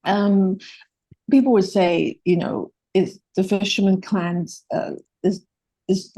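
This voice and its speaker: A woman's voice: medium pitch, fairly even intonation, slow pace, mellow, no echo. A woman with a Hong Kong accent, a native Cantonese speaker, presenting a project in a hesitant way, with many fillers and restarts. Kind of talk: presentation talk.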